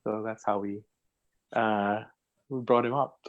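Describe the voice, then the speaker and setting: A man speaking as a panel guest, relaxed and repeating words as he goes. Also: on the low side, measured pace, phone-quality sound. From Philippines. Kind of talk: casual panel chat.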